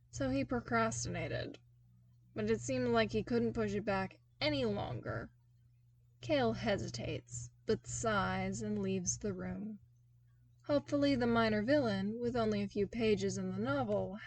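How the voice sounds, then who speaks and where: A woman reading a fan fiction story aloud as a podfic, with an expressive delivery that bursts into exasperated comic outbursts. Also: mid-range voice, a little hiss or hum. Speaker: podfic reader.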